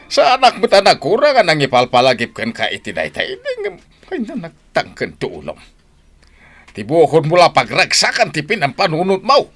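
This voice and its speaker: A man's voice: a little high, huge pitch swings, moderate pace, faint music behind, heavily acted. A man with an Ilocano accent, acting in a radio drama as an angry husband who later scolds as a stern father. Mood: scolding. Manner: loud, scolding, mocking laughs.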